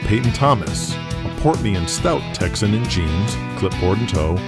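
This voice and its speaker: A man narrating a scripted audio drama in a measured, descriptive way. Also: fairly low pitch, animated, loud music behind the voice.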